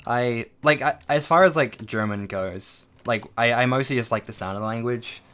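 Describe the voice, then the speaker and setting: A man's voice: mid-range voice, normal speed, fairly bright tone, clear, resonant tone. A man streaming a game, chatting casually with lots of ums and trailing asides to his chat, on a desk microphone at home. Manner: rambling, many ums, reads and answers chat.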